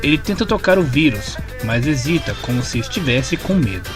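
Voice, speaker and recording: A man's voice: mid-range voice, very animated, moderate pace. Brazilian man narrating over music. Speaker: narrator of comic story summaries. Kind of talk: scripted narration, retelling a story. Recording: music clearly playing behind the voice. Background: background music.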